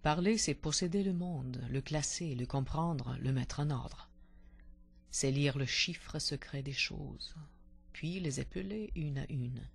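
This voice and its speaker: A woman reciting poetry expressively, with deliberate dramatic phrasing and a husky, breathy voice that can sink toward a whisper. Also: a bass voice. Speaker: poetry reader.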